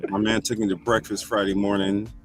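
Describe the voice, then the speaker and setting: A man's voice: on the low side, level tone, fairly quick, music in the background. A man hosting a casual group chat, telling loose stories and welcoming each guest by name. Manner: loose, storytelling, acts out quotes with raised voice. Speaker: podcast host.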